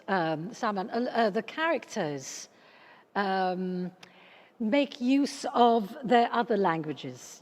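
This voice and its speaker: A woman asks questions in a hesitant, searching way, filling her pauses with "um". Her voice rings out with a long reverb, as if she is in a large hall. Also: medium pitch, darkish tone. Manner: hesitant, many "um"s. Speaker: interviewer. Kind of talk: panel interview.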